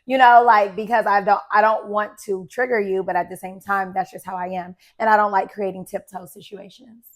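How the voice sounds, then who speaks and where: A young adult woman with a raspy voice, co-hosting a podcast in casual, blunt chat. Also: mid-range voice, level tone, brisk. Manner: blunt, laughing, unapologetic. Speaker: podcast co-host.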